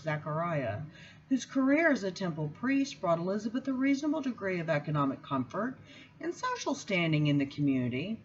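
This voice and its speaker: A woman reads from a book aloud in an expressive style, with measured, deliberate phrasing and emphatic pauses. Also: mid-range voice, lo-fi sound, a little hiss or hum. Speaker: seminary student reading aloud. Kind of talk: expressive book reading with spoken introduction.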